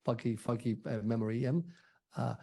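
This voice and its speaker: A man with an Iranian accent and a very dark, mellow voice discusses politics off the cuff. His pitch is medium, and he speaks haltingly, repeating words as he searches. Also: steady tone, vocal fry. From Iran. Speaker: interview guest, political commentator.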